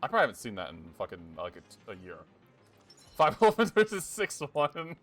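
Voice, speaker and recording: Young man streaming, chatty and lively. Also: on the high side, a little fast. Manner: thinks aloud, swears, chuckles often. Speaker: game streamer. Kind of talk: casual live gameplay commentary. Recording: game music behind.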